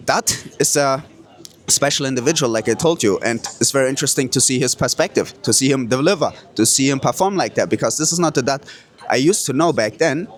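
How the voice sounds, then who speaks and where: A man from Kurdistan who hosts the show as a hypnotist, talking to camera with boastful bragging and profane street slang. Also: mid-range voice. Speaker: hypnotist and host. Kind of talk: brash motivational talk to camera.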